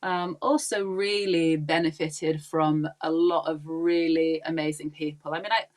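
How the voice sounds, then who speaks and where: A woman's voice: low-pitched, steady tone, unhurried. A woman in her forties who grew up in Pakistan, chatting as a podcast guest, talking readily and laughing easily between thoughts. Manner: chatty and warm, laughing often, many ums. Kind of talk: casual interview chat.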